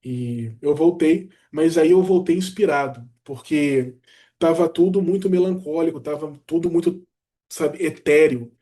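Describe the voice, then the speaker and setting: Chatty man with a mellow voice. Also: a little high, a little slow. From Brazil. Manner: chatty, animated storytelling with fillers like "cara" and "né". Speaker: doctor sharing his career story. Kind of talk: casual personal vlog talk.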